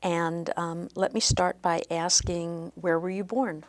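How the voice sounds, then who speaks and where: A woman with a fairly low voice conducts an oral history interview. She asks her questions politely and at a measured pace. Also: flat delivery.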